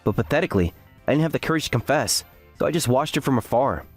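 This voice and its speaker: A man's voice: medium pitch, expressive, quick pace, husky, soft background music. A man playing an earnest, self-deprecating graduate student who narrates his story in an anime-style dub. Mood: weary. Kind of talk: first-person scripted narration with acted dialogue.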